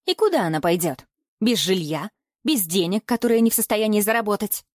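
A woman's voice: medium pitch, animated, brisk, dry recording, clean studio sound, close to an everyday voice. A woman narrator voicing an embittered, controlling middle-aged mother in indignant, accusing outbursts for an audiobook. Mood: scornful, commanding.

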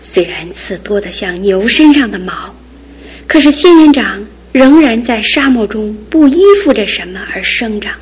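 A husky, caring aunt. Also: female voice, medium pitch, animated, normal speed. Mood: thoughtful. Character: caring middle-aged aunt, gentle and wise. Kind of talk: radio drama acting.

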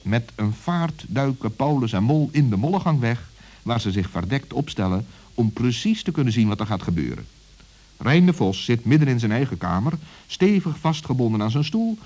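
A man's voice: mid-range voice, warm tone, slightly rough. A man narrating a scripted children's story as a storyteller.

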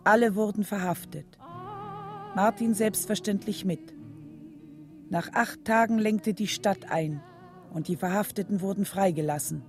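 Mellow and dark in tone, an actress plays a reflective mother narrating a radio drama. Her mid-pitched voice is measured and serious, with faint music behind. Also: close to an everyday voice.

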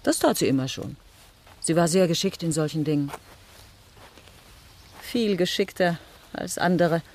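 Poised, ironic French marquise, noisy ambience. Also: female voice, on the low side, unhurried, darkish tone. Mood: sardonic. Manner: poised, coquettish, dryly ironic. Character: witty, worldly French marquise, aging beauty living in convent. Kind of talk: German radio drama acting.

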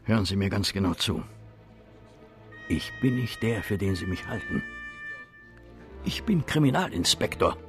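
An actor in a German radio play playing a scheming police detective who is insinuating, hesitant and then insistent. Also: male voice, fairly low pitch, animated, unhurried, pauses often, faint music behind. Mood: insistent, conspiratorial. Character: wily, pushy male police detective, coaxing and scheming. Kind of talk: German radio drama acting.

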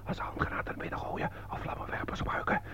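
An actor playing a tense, protective army sergeant under fire in a radio war drama. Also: male voice, quick pace, whispered, natural voice. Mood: hushed, fearful. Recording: muffled old radio recording, loud noise.

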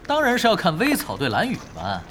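An actor playing a laid-back, cocky veteran pro gamer in an audio drama, wry and self-assured. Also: male voice, sits high, huge pitch swings, a little fast, fairly bright tone, slight background noise, exaggerated voice. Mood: teasing.

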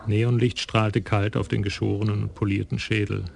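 A man narrating a crime radio play in an even, measured way. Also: fairly low pitch, creaky.

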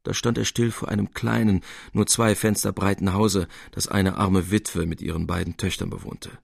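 A man reading literary prose as a narrator, in a measured and expressive storytelling manner. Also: low-pitched, creaky, breathy edge, no echo, clean studio sound.

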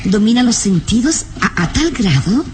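A woman's voice: on the high side, sing-song, normal speed, noisy background. A woman playing a polite, curious, admiring young lady in an old radio serial, speaking courteously. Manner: courteous, inquisitive. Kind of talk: old Latin American radio serial acting.

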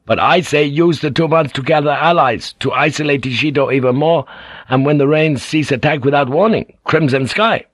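A man's voice: a little high, dry recording, voice pushed up, close to an everyday voice. A man doing an eager young samurai son. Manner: eager, bursting, rushing. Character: young excitable male samurai heir.

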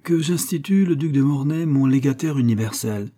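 A man's voice: on the high side, fairly even intonation, normal speed, mellow, voice pushed up, natural voice. A man voicing a young marquise who feigns a deathbed, speaking weakly and solemnly in a comic audiobook. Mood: faint, solemn. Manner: weak, solemn. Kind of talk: comic literary audiobook dialogue.

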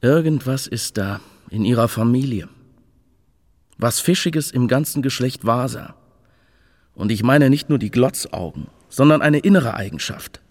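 An actor playing a disgruntled, brooding royal guardian in a radio play, sardonic in manner. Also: male voice, medium pitch, darkish tone. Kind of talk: radio play acting, monologue and dialogue.

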